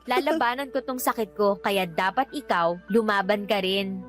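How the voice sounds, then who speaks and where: An actress plays a feisty Filipina with cancer in a radio drama, a loyal, bantering best friend. She has a Filipino accent and a middle-pitched voice, with faint music underneath. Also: normal speed, natural voice.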